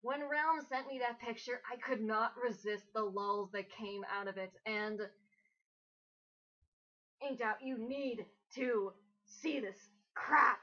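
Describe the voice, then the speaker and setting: Bright high-voiced woman, exasperated and swearing. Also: takes it slowly. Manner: profane, exasperated, jokey. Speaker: host of a dramatic reading commentary. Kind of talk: casual chat, mocking commentary. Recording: dull lo-fi home recording.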